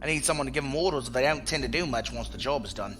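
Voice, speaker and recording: A man's voice: medium pitch, very fast, over music, lightly acted. A man acting a blunt, loyal spacer in a science fiction audio drama, talking in colloquial, folksy slang. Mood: briefing eagerly. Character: rough-spoken ex-security chief, blunt, loyal male spacer.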